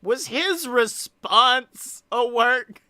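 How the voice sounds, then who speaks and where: Young guy podcast host, sweary and chatty, bright voice, dry close sound. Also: very high voice, expressive, very slow, clear, resonant tone, no room sound. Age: young adult. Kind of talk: casual, profane banter.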